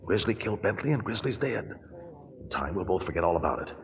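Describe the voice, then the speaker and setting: A scheming, controlling lawyer with a fairly low voice. He talks in measured, formal, coolly persuasive lines, 1940s radio style, with a long reverb around him. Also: very animated. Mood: coolly reassuring. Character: smooth, controlling, scheming lawyer, adult man. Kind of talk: 1940s radio drama acting.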